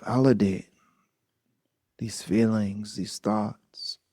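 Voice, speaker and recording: A man with a low, mellow voice guides a meditation in a soft, gentle manner. He speaks very slowly and keeps his pitch very even, leaving long pauses between short phrases. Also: slightly rough, no room sound, clean studio sound. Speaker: meditation teacher.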